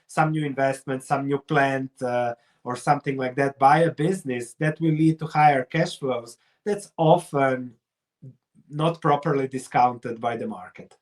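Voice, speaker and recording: Higher-voiced middle-aged man, Croatian accent. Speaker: investor and researcher, interview guest. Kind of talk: explanatory interview talk.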